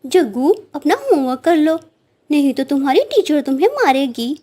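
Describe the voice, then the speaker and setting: A woman's voice: high-pitched, animated, normal speed, dark tone, voice pushed up. A woman playing a boastful mother bird in a children's cartoon, proud and scolding. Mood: nagging. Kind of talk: children's cartoon story acting.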